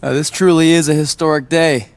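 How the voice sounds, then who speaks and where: A man's voice: a little high, steady tone, fairly quick, no room sound, close to an everyday voice. A man playing a goofy, easygoing young wrestler in a video game, with a joking, casual delivery. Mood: breezy, joking. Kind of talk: scripted video game cutscene acting.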